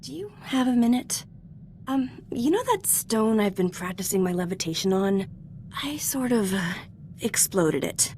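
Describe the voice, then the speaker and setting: A woman's voice: mid-range voice, big pitch swings, a little slow, a little mellow, slight background noise. Eager young female Jedi apprentice. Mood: sheepish, upbeat. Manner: earnest, slightly hesitant, conversational. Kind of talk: scripted video game voice acting.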